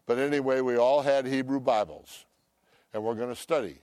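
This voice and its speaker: An older man preaching a sermon, loud and emphatic, swinging between shouts and hushed asides. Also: mid-range voice, fairly even intonation, normal speed, no room sound. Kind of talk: impassioned sermon.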